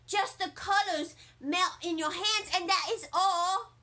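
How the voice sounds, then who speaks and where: A woman voicing an excitable, bragging little girl with childlike, emphatic chatter in a storybook read-aloud. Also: extremely high pitch, unhurried, voice pushed up, full character voice. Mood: eager, friendly.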